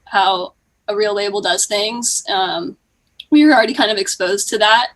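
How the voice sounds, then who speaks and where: A woman's voice: medium pitch, moderate pace, fairly bright tone. A woman guest chatting informally on a podcast, slangy, with "like" sprinkled all through. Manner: informal, slangy, heavy use of "like". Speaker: podcast guest, music company co-founder. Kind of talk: casual chat.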